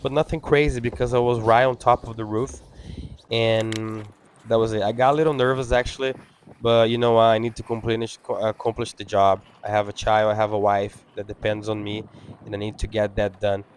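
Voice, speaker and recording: A man whose first language is Portuguese, speaking English with an accent as he talks over his window cleaning video, halting and full of fillers. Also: lowish voice, level tone, a little hiss or hum. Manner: halting, many fillers and restarts. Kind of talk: casual talk-over.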